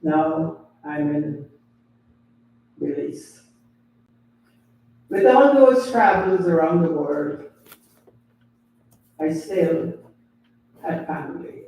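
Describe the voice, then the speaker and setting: An older man from Guyana, giving a slow, deliberate memorial tribute as a family elder. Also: a little high, dark tone, slight background noise. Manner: slow, deliberate, pausing between phrases. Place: funeral home chapel. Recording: very echoey hall, distant mic.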